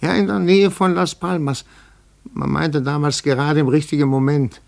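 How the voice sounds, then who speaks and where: An actor playing a shrewd, insinuating male investigator in a 1950s German radio play, musing deliberately and asking pointed questions. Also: a little high, steady tone, creaky, a little mellow. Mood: musing, intrigued.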